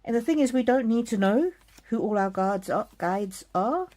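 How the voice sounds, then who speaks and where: A middle-aged woman from South Africa giving a gentle live oracle card reading, speaking softly and addressing viewers by name. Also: fairly high pitch, expressive, normal speed. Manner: gentle, soothing, talks straight to viewers by name. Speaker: card reader.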